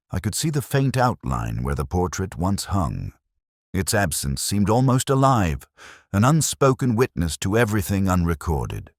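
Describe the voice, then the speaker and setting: A man narrating a literary detective story in a measured, formal, melancholy manner. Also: rumbling low, no room sound, studio quality. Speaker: first-person detective narrator of an audio mystery.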